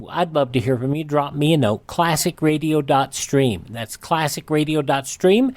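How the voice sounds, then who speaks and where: A man's voice: fairly high pitch, a little mellow, no room sound. A man hosting a radio show, reading a conversational scripted outro. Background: faint music.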